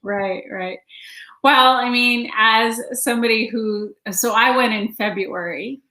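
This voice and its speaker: A Canadian woman hosting a casual interview, chatty and letting her sentences trail off softly. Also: on the high side, slow pace, reverberant. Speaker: podcast host.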